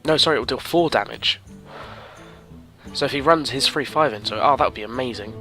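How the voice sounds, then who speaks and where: A man's voice: mid-range voice, brisk, bright, forceful tone, faint music behind. A man with a cold, sniffling as he chats casually through his card game, thinking aloud and rambling. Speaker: gaming commentator. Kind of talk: casual let's-play commentary.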